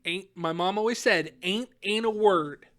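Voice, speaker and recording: A woman's voice: mid-range voice, measured pace. A young woman co-hosting a podcast in casual chat, giggly, often second-guessing herself as she talks. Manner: giggly, self-questioning banter. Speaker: podcast co-host.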